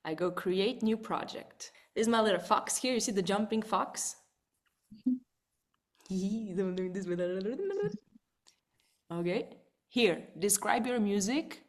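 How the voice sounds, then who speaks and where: A woman leads a live online webinar as a vocal coach. She talks in a lively way, repeats herself and often checks in with "right?" Her voice sits at an ordinary middle pitch. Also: takes it slowly, pauses often. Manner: lively, repeats words, asks listeners "right?".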